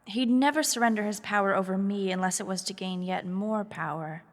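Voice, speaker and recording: Long reverb surrounds a woman acting as a shrewd young noblewoman. Her mid-pitched voice is measured, formal and serious, fit for a fantasy radio drama. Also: natural voice. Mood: serious, pondering.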